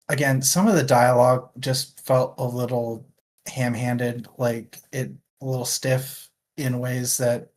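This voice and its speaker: Casual book-club host, mid-pitched voice, wry and conversational. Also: slight background noise. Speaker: book discussion show host. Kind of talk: casual chat, book discussion.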